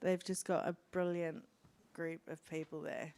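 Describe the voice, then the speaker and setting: A woman's voice: deep voice, level tone, darkish tone. A woman with an Australian accent, speaking earnestly into a handheld microphone as a guest on an event stage. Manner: slow, earnest, heartfelt. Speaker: guest speaker sharing her story. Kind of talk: answering interview questions on stage.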